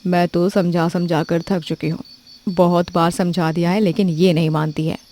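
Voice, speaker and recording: A woman voicing a middle-aged mother-in-law in a cartoon story, speaking lower and measured, tired but firm. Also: flat delivery, mellow, natural voice. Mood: weary.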